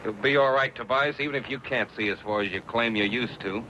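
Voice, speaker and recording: Actor as bright, forceful American frontiersman. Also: medium pitch, a little fast, natural voice. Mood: determined. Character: resolute, plain-spoken frontier man. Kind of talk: old-time radio western acting. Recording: dull, faintly noisy radio recording.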